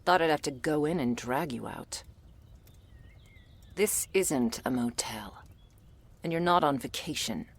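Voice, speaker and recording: A woman playing a gruff, world-weary female monster hunter in an ASMR audio roleplay, curt, sardonic and bossy as she addresses the listener. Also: expressive, measured pace, pauses often, full character voice. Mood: gruff, impatient. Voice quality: low, husky, breathy with gravelly creak.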